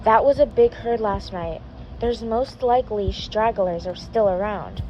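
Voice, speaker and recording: A woman's voice: medium pitch, measured pace, mellow, muffled recording, slight background noise, close to an everyday voice. A woman voicing a cautious, sensible young male peacemaker in a zombie story read aloud. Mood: cautious, practical.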